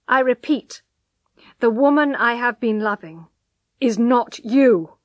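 A woman's voice: a little high, lively intonation, slow, dry recording, dull audio. Woman acting a stunned, bitter husband, dry close sound. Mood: cold, stern. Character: stunned husband, bitter, cold, unforgiving, bewildered.

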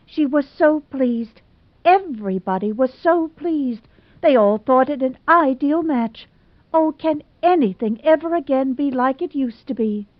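A woman's voice: high voice, expressive, unhurried, no room sound, muffled recording, voice pushed up. A woman voicing a melodramatic, self-pitying young woman who speaks in a gushing, tearful, theatrical manner.

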